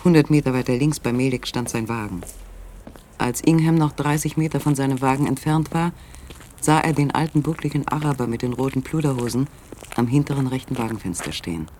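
Man, scripted literary narration, medium pitch, dramatic pauses, suspenseful, faint background noise. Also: darkish tone.

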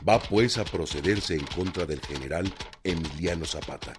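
Actor as deep, solemn newspaper reader. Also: male voice, moderate pace, over music, lightly acted. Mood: grave. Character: grave male reader of an old newspaper report. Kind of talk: dramatized scripted reading in a radio drama. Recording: clearly noisy, clicking background.

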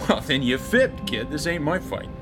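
Cynical american space smuggler captain, highish voice that swings around, sardonic, radio drama w/ music. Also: male voice, very animated, moderate pace. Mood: dismissive, wary. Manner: sardonic, slangy, blunt. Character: cynical, brash mercenary starship captain, a man.

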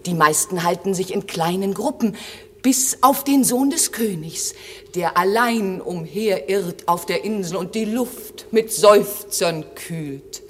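An actress playing an eager, dutiful airy spirit servant in a classic German radio drama, declaiming verse in a lively, lyrical way, with long reverb. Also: female voice, mid-range voice, takes it slowly, slight background noise, lightly acted. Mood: eager reporting.

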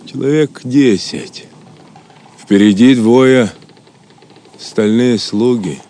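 A man playing a gruff, grumbling swineherd serf in an audio drama, with an earthy delivery. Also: mid-range voice, slow, dark tone, close to an everyday voice. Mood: wary.

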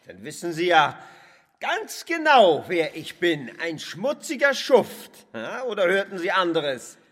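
An actor playing a bitter, self-loathing crippled pawnbroker in a radio drama, theatrical and halting, often dropping to a whisper. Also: male voice, high voice, very animated, a bit bright, exaggerated voice. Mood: defiant, bitter. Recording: long hall reverb.

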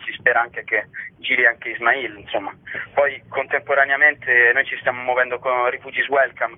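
A man with a Roman Italian accent talks at length in an informal radio phone interview, explaining and often adding tag questions. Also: mid-range voice. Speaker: actor and author interviewed about his show. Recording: muffled narrowband phone line on radio.

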